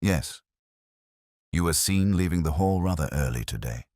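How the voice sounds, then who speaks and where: Mystery inspector, acted by a male reader: very deep, mild, thoughtful, formally polite, dry close sound. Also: dry recording. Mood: courteous, probing. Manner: calm, measured, politely formal. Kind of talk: audio mystery story dialogue.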